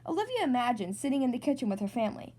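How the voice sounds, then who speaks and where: A woman hosts a read-aloud for kids. Her voice sits high and swings widely in pitch. She narrates in an expressive, sing-song storybook manner. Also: rapid-fire. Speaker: storybook reader, podcast host.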